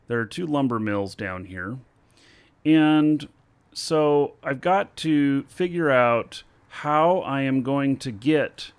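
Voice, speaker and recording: A man streaming a video game, talking slowly to himself with many fillers as he works out what to do next. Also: mid-range voice, smooth. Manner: slow, thinking aloud with many fillers. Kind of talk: casual gameplay commentary.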